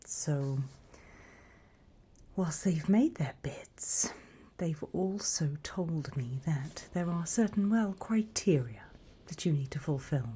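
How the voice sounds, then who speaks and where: A sly British demoness, acted by a woman, speaks in a very breathy, husky murmur that often turns to whisper. Her voice is low and soft-edged. She is unhurried, teasing and condescending, in the style of an ASMR roleplay. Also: animated, heavily acted. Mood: smug, sly. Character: sly, mocking female demon who trades in purchased souls.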